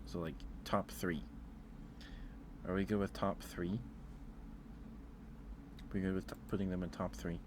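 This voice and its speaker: A man's voice: deep voice, flat delivery, measured pace, long pauses, background noise. A man who streams ASMR, chatting casually and musing aloud in a hesitant, rambling way. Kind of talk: casual ASMR livestream chat.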